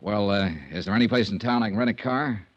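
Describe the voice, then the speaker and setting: An actor playing a wry, persistent insurance investigator in a 1950s American radio drama, with a clipped, conversational manner. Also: male voice, lowish voice, fast talker, dry recording, lightly acted. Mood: probing. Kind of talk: 1950s radio detective drama acting and narration. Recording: dull vintage 1950s radio recording.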